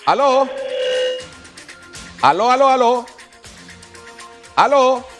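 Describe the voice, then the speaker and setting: A man's voice: very high-pitched, animated, very slow, a bit bright, faint music behind. A man in his fifties with a Honduran accent, anchoring a live news show in colloquial, exasperated style. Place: TV news studio. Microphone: lapel mic.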